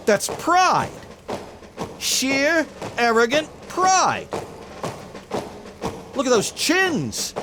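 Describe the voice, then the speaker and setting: A man's voice: extremely high pitch, wildly swooping pitch, drawn-out words, pauses often, over music, heavily acted. An actor playing a frantic, slangy publicity man in an urgent 1950s radio drama style. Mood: grim awe. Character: frantic, fast-talking male publicity man.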